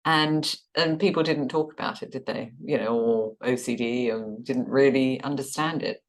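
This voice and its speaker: A middle-aged woman with a notably low voice talks as an empathetic therapist host. She asks gentle questions and often ends them with tag questions. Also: moderate pace. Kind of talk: supportive interview conversation.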